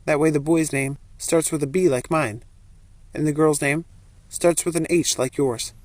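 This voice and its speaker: Storybook young husband, played by a woman narrator: pitched down, practical and guarded, close dry sound. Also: normal speed, darkish tone, no room sound, natural voice. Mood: cautious, businesslike. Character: cautious, practical young man posing as a husband.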